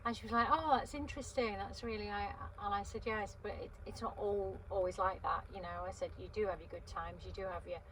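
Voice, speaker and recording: A woman's voice: medium pitch, fairly even intonation, brisk, hardly any pauses, a bit bright, low-quality recording. Chatty woman in her fifties, wind outside. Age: 50s. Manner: chatty, meandering, many ums and restarts. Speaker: vlogger. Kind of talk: casual rambling chat to camera. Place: inside a parked van by a river on a windy day. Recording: wind noise in a parked van. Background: gusting wind outside.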